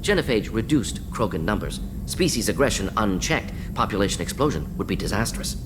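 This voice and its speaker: An actor playing a brisk, eager alien scientist in a video game, talking in clipped, telegraphic, analytical bursts. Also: male voice, lowish voice, very animated, background noise. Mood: matter-of-fact. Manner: clipped telegraphic sentences, rapid-fire, analytical. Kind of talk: video game character dialogue. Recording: game audio over humming ambience.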